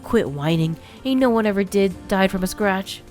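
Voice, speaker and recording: A woman's voice: mid-range voice, expressive, moderate pace, darkish tone, faint music behind, pitched above the performer's own voice, close to an everyday voice. A woman playing a blunt, fierce old grandmother. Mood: menacing. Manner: blunt, threatening, folksy. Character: fierce gravel-tongued old grandmother, small but tough.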